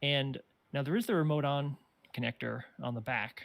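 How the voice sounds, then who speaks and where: A man's voice: mid-range voice, moderate pace, gravelly, no room sound. A man explaining technical problems casually and a bit tentatively, as someone who builds stations.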